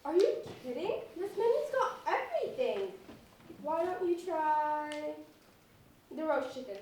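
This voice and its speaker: A young woman acting in an amateur stage comedy as an eager, increasingly exasperated dinner date, expressive and incredulous. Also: sits high, unhurried. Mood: eager, helpful. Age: teenager or young adult. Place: echoey indoor stage, recorded from afar. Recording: echoey stage, distant mic, faint noise.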